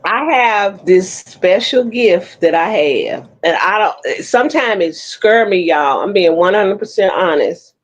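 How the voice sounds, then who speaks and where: A woman in her fifties chatting frankly on an online panel, telling her own stories with lively, blunt humor. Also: mid-range voice, a little slow. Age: 50s. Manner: frank, animated storytelling with Southern-inflected slang. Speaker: panel co-host. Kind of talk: casual chat. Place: Oklahoma City.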